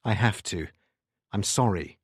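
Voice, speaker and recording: A narrator voicing a firm, authoritative male police detective sergeant with a brisk, commanding manner. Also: low voice, husky, no echo, clean studio sound, heavily acted. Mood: measured.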